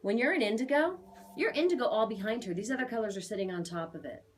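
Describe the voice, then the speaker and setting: A woman's voice: on the high side, animated, fast, slight background noise. A woman giving a conversational spiritual reading as an aura reader, talking in a chatty, emphatic, assured way.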